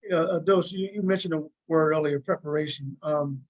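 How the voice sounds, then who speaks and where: A man with a somewhat high voice hosts a casual interview. He moves haltingly through his questions, repeating words and rewording as he goes. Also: fairly even intonation, darkish tone, highs cut off.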